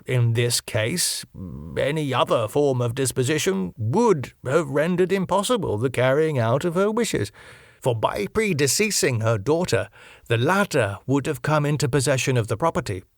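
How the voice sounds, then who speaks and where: A man's voice: mid-range voice, no room sound, clean studio sound, voice pushed up, lightly acted. A man voicing a genial, self-satisfied solicitor in an audiobook, with a formal, wordy, officious manner.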